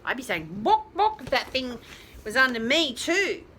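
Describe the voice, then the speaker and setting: Bright, animated woman storyteller for children. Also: a little high, a little hiss or hum. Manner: drawn-out emphasis, questions to listeners. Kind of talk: animated read-aloud for young children with chatty asides. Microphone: phone held nearby.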